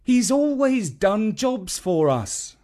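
A man's voice: high voice, very animated, slow pace, noisy background, pitched above the performer's own voice, exaggerated voice. A man voicing an exasperated, protesting dad with dry humour in a storybook reading.

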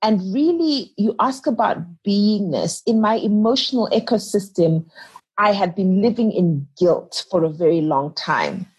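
A woman's voice: mid-range voice, measured pace, darkish tone, husky, poor audio. A woman in her thirties from Zimbabwe, a guest speaking thoughtfully and earnestly in an interview. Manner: thoughtful, earnest, restarts phrases, voices her inner questions. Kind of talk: reflective interview talk.

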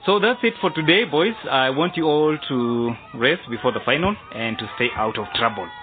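A man's voice: medium pitch, expressive, normal speed, lightly acted. A man playing a hearty boys' football coach in a radio drama, encouraging and instructing his group. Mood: hearty, instructive. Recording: noisy, tinny phone-like radio drama recording.

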